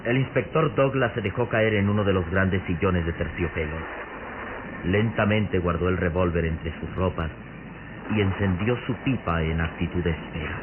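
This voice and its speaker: A man's voice: low voice, moderate pace, noisy background. A man narrating a scripted radio drama in a solemn, dramatic style. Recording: muffled old radio recording. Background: music.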